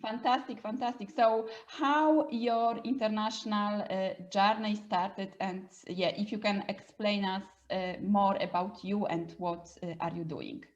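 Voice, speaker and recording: A woman's voice: mid-range voice, measured pace, hardly any pauses. A woman hosting and interviewing on a podcast, effusive and repeating her words. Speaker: podcast host. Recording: dull, lo-fi microphone sound.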